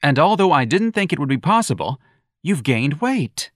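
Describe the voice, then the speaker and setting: A man voicing a flamboyant, teasing young man who mocks in a high, gleeful voice. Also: very animated, moderate pace, no echo, studio quality. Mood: catty. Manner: campy, mocking, shrieking.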